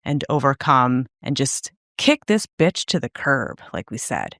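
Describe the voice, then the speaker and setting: A woman's voice: rumbling low, big pitch swings, a little slow, a bit bright, dry recording, clean studio sound. A woman co-hosting a podcast, talking in a loose, chatty way full of casual fillers. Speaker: podcast co-host. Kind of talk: casual chat.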